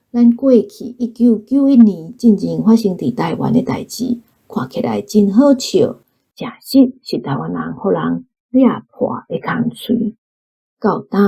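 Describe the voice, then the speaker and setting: Slow, dark, husky Taiwanese woman. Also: medium pitch. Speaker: book club member giving reading reflections. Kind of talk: scripted spoken essay for radio.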